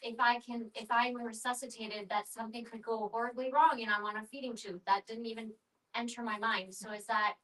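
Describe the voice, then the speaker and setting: A woman's voice: on the high side, steady tone, brisk, fairly bright tone. A woman thinking aloud tentatively in a group discussion. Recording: voice picked up from a distance.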